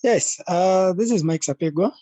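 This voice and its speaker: Young man from Zambia, earnest questioner on an online call, mellow tone, dry sound. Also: high voice, moderate pace, no room sound. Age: young adult. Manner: earnest, repeats words while searching for phrasing. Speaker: meeting participant. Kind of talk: asking a question in an online meeting. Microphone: video call.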